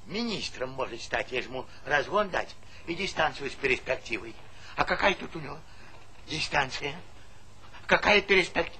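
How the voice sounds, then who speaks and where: A man's voice: fairly high pitch, bright, forceful tone, full character voice. An actor playing a talkative, stubborn old village grandfather in a stage comedy, folksy and argumentative, repeating himself. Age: older. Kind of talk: theatrical stage comedy acting. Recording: noisy 1970s theatre play recording.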